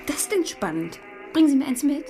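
A woman's voice: high voice, big pitch swings, a little fast, dark tone, faint music behind. An actress playing an eager, forthright eighteen-year-old schoolgirl, familiar and a little bossy, in a radio drama. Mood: inquisitive, bossy. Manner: direct, eager, quizzing, familiar.

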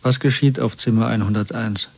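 A man's voice: fairly low pitch, fairly even intonation, brisk, vocal fry, slightly rough. An actor playing a captive man in a radio drama, speaking in terse, bleak, matter-of-fact fragments. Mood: anxious questioning. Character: captive man, bleak, observant inner monologue. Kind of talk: 1970s German radio drama monologue.

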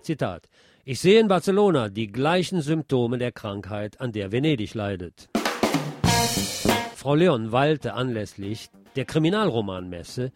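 Man, radio host, scripted news narration, medium-pitched voice, guitar music behind. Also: lively intonation, measured pace, darkish tone. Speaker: radio host and presenter, also an author.

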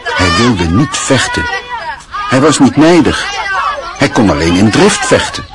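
A man narrating a radio play in a calm, measured storytelling manner. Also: mid-range voice, over a noisy crowd, heavy background noise.

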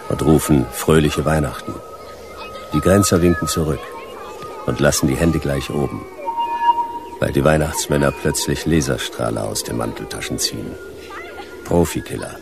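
A world-weary private eye in a sci-fi radio drama. His extremely deep, gravelly voice delivers terse, deadpan lines, with music underneath. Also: unhurried, long pauses, heavily acted. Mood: deadpan narration. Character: laconic hard-boiled male private detective, dry and world-weary. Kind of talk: noir narration and dialogue in German sci-fi radio play.

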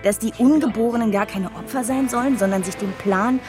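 Radio play casting: woman as scornful, slangy young woman, slightly high register, music clearly underneath. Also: brisk, natural voice. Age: young adult. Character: Megan, cheeky young woman mocking conspiracy talk. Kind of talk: casual radio drama dialogue.

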